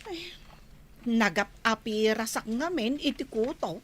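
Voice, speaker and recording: A woman with a Filipino accent acting a poor, put-upon wife in a radio drama, plaintive and weary with sighing, drawn-out complaints. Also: on the high side, lively intonation.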